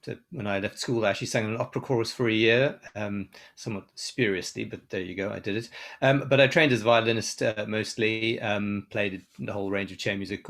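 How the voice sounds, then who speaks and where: A man from South Africa talks through his new string quartet, pausing often and restarting his sentences. His voice sits on the low side. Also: a bit bright. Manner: hesitant, lots of ums and restarts. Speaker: composer and lecturer. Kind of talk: conference talk. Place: online symposium.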